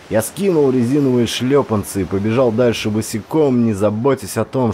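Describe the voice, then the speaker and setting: A man narrates a first-person story for an audiobook in a measured, expressive reading. His voice sits low, and he sinks it softer and slower for the moody scenery. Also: slight background noise.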